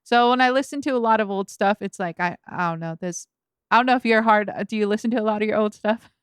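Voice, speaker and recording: A woman's voice: mid-range voice, fast, clear, resonant tone, no echo, studio quality. A woman from Los Angeles, a rapper guest on a podcast, chatting casually with lots of fillers, restarts and laughs. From Los Angeles, USA. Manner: rambling, filler-heavy, laughs often.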